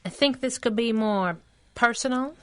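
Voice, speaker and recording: An actress playing a plucky young crime-fighting sidekick in a 1930s-style radio adventure, slangy, cheeky and teasing. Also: female voice, a little high, normal speed, lightly acted.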